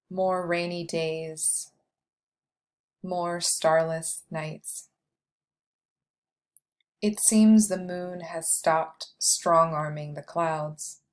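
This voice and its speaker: Slow, low-voiced woman guiding meditation. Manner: slow, measured delivery with long pauses. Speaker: meditation guide. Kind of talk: guided meditation, scripted poetic narration.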